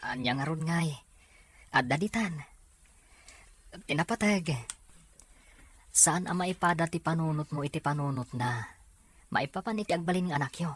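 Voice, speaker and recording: A woman acting a frank, protective young male cousin with a husky voice in a drama serial. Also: sits low, normal speed, pauses often. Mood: sympathetic, frank.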